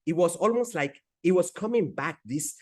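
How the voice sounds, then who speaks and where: A man in his forties from Peru with a Spanish accent, speaking as a guest in an interview, with frequent restarts and halting phrasing. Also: a little high, expressive, darkish tone. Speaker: guest entrepreneur. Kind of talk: conversational interview.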